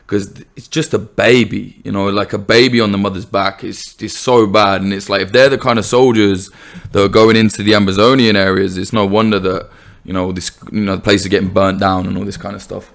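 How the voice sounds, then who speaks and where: A male podcast host with a fairly low, gravelly voice. He talks in a loose, casual way and is blunt when he reacts. He moves between quick reactions and questions for his guest. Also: little pitch movement, a bit bright. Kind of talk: interview conversation.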